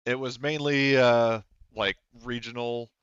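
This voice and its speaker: A man narrating a tabletop game as game master in a casual, halting, rambling, conversational way. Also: mid-range voice, steady tone, fairly bright tone, clean tone, no echo, lo-fi sound.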